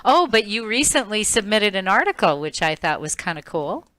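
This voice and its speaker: A woman's voice: medium pitch, sing-song, normal speed, a bit bright, no echo. A woman host chatting casually with a panel, laughing often as she goes. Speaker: talk show host and magazine editor.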